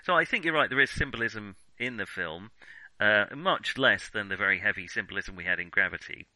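A man's voice: fairly low pitch, expressive, brisk, sharp tone, no room sound, rough recording. A man talking through film criticism off the cuff, reflective and hesitant, often qualifying what he says. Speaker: film discussion podcast host. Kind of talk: unscripted film criticism discussion.

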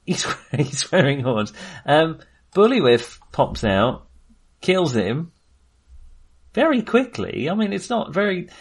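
A man's voice: a little high, sing-song, slow pace, slightly rough. A man co-hosting a film podcast in casual discussion, talking in a hesitant, rambling way and often correcting himself.

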